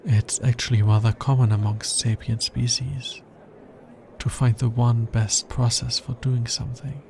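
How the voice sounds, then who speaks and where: Man voicing a slow, hesitant, smitten alien scientist. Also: medium pitch, level tone, creaky, darkish tone, slight background noise. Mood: precise, musing. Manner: hesitant, earnest, precise formal wording. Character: anxious, smitten male alien scientist, formal and procedure-bound. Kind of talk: intimate ASMR roleplay.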